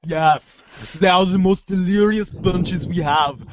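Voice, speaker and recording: A man's voice: high voice, slow pace, lo-fi sound, a little hiss or hum. A man voicing a haughty, wordy teenage girl with pompous, florid phrasing in an amateur comic script reading. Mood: wry. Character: haughty, verbose teenage girl, snarky intellectual.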